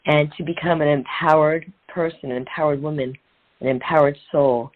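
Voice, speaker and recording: A woman's voice: sits low, steady tone, normal speed. A middle-aged woman speaking as an interview guest and coach in an earnest, inspirational way, repeating phrases for emphasis. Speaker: guest, transformational and women's empowerment coach. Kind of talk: conversational interview, motivational storytelling. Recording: narrow phone-like sound.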